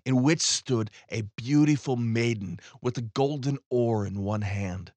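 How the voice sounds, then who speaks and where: A man's voice: medium pitch, no echo. A man reading a fairy tale aloud as scripted storybook narration. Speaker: audiobook reader.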